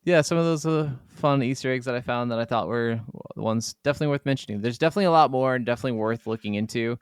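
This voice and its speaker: Clear-voiced man chatting casually, dry close sound. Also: medium pitch, rapid-fire, dry recording. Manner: rambling, peppered with "like". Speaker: gaming podcast co-host. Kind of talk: casual chat about video games.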